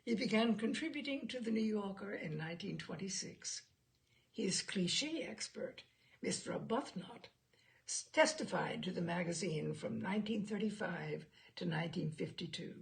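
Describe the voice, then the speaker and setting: A woman reading humor writing aloud, introducing it in an expressive, gravelly literary narration. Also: fairly low pitch. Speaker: reader of humor stories.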